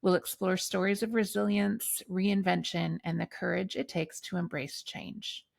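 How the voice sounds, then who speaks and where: A woman's voice: mid-range voice, steady tone, unhurried, vocal fry, dry recording, clean studio sound. A woman hosting a podcast, delivering scripted introductions and questions to her guest. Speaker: podcast host.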